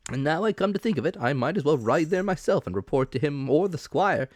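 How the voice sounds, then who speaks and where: A man voicing a hearty, blustering revenue officer, brisk and emphatic, in a dramatic audiobook reading. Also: a little high, expressive, no room sound, clean studio sound, pitched above the performer's own voice.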